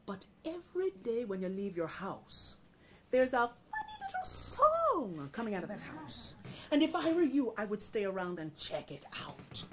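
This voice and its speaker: A woman storyteller voicing a friendly, observant male tortoise in a measured, confiding way. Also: medium pitch, very animated, a little hiss or hum, natural voice. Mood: friendly, advising.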